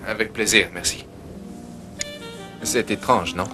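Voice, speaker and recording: A male actor playing a quiet, polite, reserved tenant in French-dubbed thriller film dialogue, speaking softly and tersely. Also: fairly low pitch, slow pace, over music. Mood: reserved, courteous.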